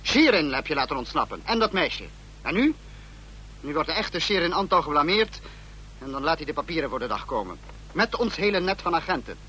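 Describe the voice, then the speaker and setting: An actor playing a menacing dictator in a radio play, accusing and commanding in clipped, stern phrases. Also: male voice, fairly high pitch, sing-song, brisk, pushed and bright. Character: menacing male dictator, leader of a fascist state. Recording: humming, noisy old radio recording.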